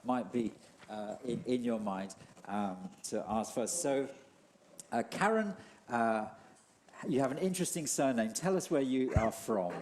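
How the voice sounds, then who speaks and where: A middle-aged man leading an interview in church, talking haltingly with many restarts. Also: mid-range voice, a little mellow, a little hiss or hum. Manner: hesitant, many restarts and fillers. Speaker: church leader hosting an interview. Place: church hall.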